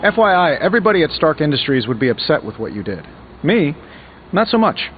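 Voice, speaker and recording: A cocky tech billionaire superhero for a game, highish swoopy voice, sarcastic quips, music under it, bit muffled. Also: male voice, sing-song, brisk. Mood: exasperated, quippy. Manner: glib, sarcastic, quippy banter. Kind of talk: video game voice acting.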